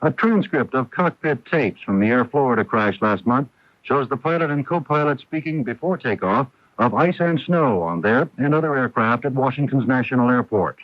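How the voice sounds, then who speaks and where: A man's voice: medium pitch, animated, normal speed, no echo. An American man anchoring a scripted radio newscast, on an old broadcast recording. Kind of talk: scripted news narration. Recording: dull lo-fi 1980s radio broadcast.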